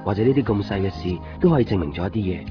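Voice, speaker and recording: A man's voice: fairly low pitch, lively intonation, very fast, lightly acted. An actor playing an eager, shy young copy-shop man in a Cantonese radio drama, earnest and prone to stammer and repeat himself. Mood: reflective, hopeful. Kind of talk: Cantonese radio drama dialogue and inner narration. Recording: muffled 1990s radio broadcast, music underneath. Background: music.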